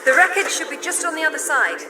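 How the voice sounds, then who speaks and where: An actress playing a bold, composed archaeologist in a video game cutscene, with a crisp manner. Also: female voice, sits high, expressive, quick pace, very noisy. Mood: cool. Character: bold adventurous British woman archaeologist. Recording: echoey game audio with loud music.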